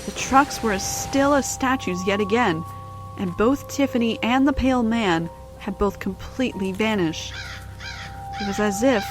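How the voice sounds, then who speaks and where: A woman narrator tells a children's-style story in scripted prose. Her voice is mid-pitched, with music clearly playing behind it. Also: normal speed.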